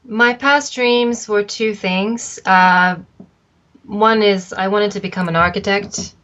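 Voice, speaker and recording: A middle-aged woman from Japan, an arts agency founder, answering interview questions in a thoughtful, measured way with frequent pauses. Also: medium pitch, steady tone, a bit bright, lo-fi sound.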